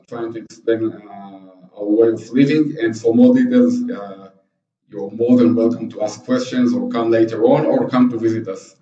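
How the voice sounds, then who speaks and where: A man from Israel with an Israeli accent gives a conference presentation, halting with many fillers. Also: mid-range voice, mellow. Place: conference hall. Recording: dull, echoey hall from far off.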